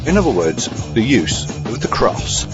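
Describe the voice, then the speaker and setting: A man's voice: lowish voice, sing-song, measured pace. A man presenting scripted commentary as a podcast segment host, in a dry, bookish manner. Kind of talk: scripted film and book commentary. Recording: slightly dull sound over music.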